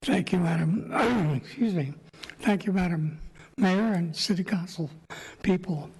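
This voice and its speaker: A man with a sore throat and a slightly raspy voice, giving a halting public presentation to a city council. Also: sits high, a little mellow. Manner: slow, halting, many fillers. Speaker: applicant speaking at council meeting. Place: council chamber. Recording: echoey council chamber.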